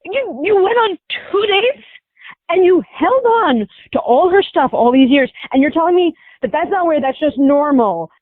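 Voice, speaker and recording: High-voiced woman on a phone line. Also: animated, moderate pace, no echo. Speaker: caller, wife on a radio prank call. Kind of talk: emotional phone confrontation. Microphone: telephone. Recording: dry, muffled phone line sound.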